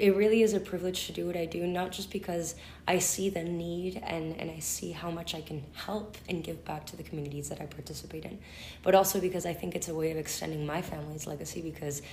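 Woman, Argentine Spanish accent, very low voice, earnest podcast guest, roomy echo. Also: steady tone, brisk, darkish tone, a little hiss or hum. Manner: thoughtful, earnest, with frequent "um"s and restarts. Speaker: interpreter and translator, podcast guest. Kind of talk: conversational podcast interview.

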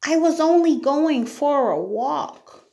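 A woman's voice: sits high, animated, slow, darkish tone, voice pushed up, full character voice. A woman voicing a polite, timid but plucky young girl in a children's story, pleading and apologetic.

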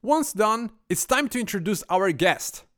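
A man hosting a podcast reads a scripted ad and a guest introduction. His voice sits high and swings widely in pitch. The delivery is lively and promotional. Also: measured pace. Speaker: podcast host.

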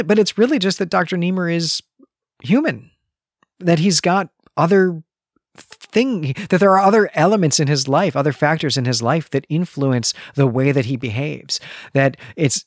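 A man's voice: a little high, dry recording, clean studio sound. A man co-hosting a podcast, thoughtfully talking through literary analysis in a conversational way, building points in long, rephrased clauses. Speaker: podcast co-host.

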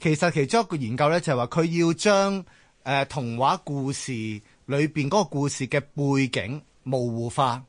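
A man's voice: mid-range voice, normal speed, no room sound. A man with a Hong Kong Cantonese accent explaining ideas in a casual panel discussion, often checking that listeners follow. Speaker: talk show panelist.

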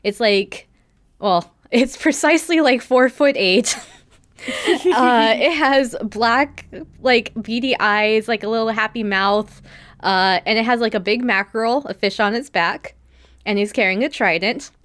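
A woman's voice: on the high side, measured pace, fairly bright tone. A woman podcast host giving a casual, chatty recap, telling the story with acted-out quotes and plenty of "like".

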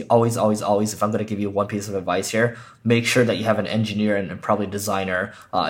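Raspy, low-voiced male podcast host. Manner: loose, with many ums and uhs. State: hoarse from a lost voice. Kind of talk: casual business podcast chat.

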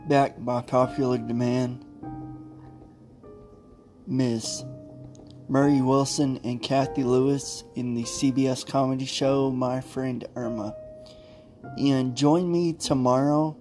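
A man hosting a podcast, informally signing off in short, halting chunks. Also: medium pitch, fairly even intonation, a little mellow, soft background music. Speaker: podcast host.

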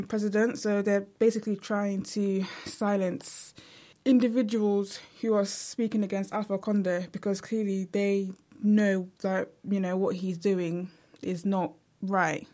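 A young woman talking straight to the camera in a casual, earnest way. Her pitch sits in an ordinary middle range, and she leans on "like" and "you know" as she searches for words. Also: mellow. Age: young adult. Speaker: vlogger.

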